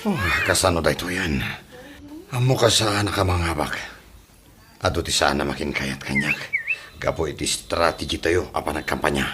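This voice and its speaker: A scheming politician with a very low voice, anxious about his bid for governor. It is Ilocano radio comedy drama acting, with clear noise behind the voice. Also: normal speed, long pauses. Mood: troubled, regretful. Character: scheming, worried male politician running for governor.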